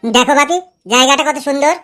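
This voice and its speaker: An extremely high, childlike girl's voice with a West Bengal Bengali accent , acted by a performer. She plays a young village daughter in a cartoon, with clear music behind her. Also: fairly even intonation, fast talker, bright, forceful tone. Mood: sweet. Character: sweet little village girl, daddy's daughter. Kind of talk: amateur comedy cartoon dubbing.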